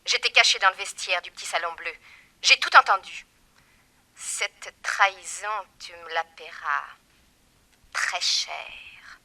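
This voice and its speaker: A woman's voice: fairly low pitch, slow pace, pauses often, pushed and bright, full character voice. An actress playing a cruel, mocking female criminal mastermind in a 1960s French radio crime serial, with a taunting, sinister manner. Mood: mocking, vengeful.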